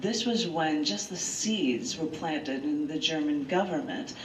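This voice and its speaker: A woman's voice: sits low, expressive, unhurried. A woman poet hosting an open mic, reading aloud live with dramatic, rhetorical delivery and asides to listeners. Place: large bookstore, indoors, live event. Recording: roomy live room, distant mic, clear noise.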